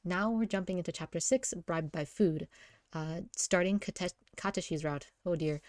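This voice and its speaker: A woman's voice: on the low side, normal speed, darkish tone, no echo, studio quality. A woman reading a story aloud as casual narration, with an informal, wry delivery. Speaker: story reader.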